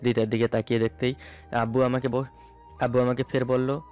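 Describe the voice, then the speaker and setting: A man's voice: mid-range voice, little pitch movement, brisk, darkish tone, clean tone. Man narrating a dramatic story over music. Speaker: narrator of a romantic audio story. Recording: music bed under the voice. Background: background music.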